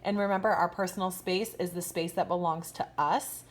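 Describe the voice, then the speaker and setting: A woman kindergarten teacher reading a picture book aloud expressively, pausing to ask young listeners questions. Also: on the low side, steady tone. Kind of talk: expressive children's storytime read-aloud with asides.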